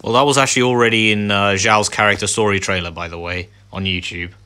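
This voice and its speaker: Very low-voiced woman doing game commentary. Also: steady tone, fast talker, bright, forceful tone. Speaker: gaming video host. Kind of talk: casual playthrough commentary. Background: game music.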